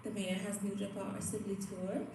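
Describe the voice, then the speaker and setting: A woman's voice: mid-range voice, little pitch movement, a little fast, lightly acted. A woman playing a professor who reads out a chirpy, formal announcement with a menacing edge in an audio drama. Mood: brisk, official. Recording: very echoey, noisy recording.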